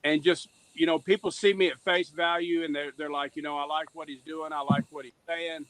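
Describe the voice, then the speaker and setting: A man's voice: fairly high pitch, flat delivery, a little fast, a bit bright, clean tone, no echo, rough recording. A middle-aged man giving candid, conversational answers as a podcast guest, earnest and repeating phrases for emphasis. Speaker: podcast guest, reentry advocate.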